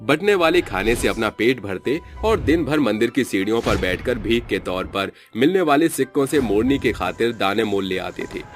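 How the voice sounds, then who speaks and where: A man narrating a cartoon fairy tale in a smooth, flowing storytelling manner. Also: mid-range voice, animated, normal speed, few pauses, soft background music.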